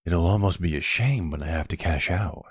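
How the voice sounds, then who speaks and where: A man's voice: low voice, moderate pace, slightly rough, no room sound, clean studio sound. A man playing a flirty, smug vampire in an intimate ASMR roleplay, with a teasing, sardonic and seductive manner. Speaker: audio roleplay voice actor.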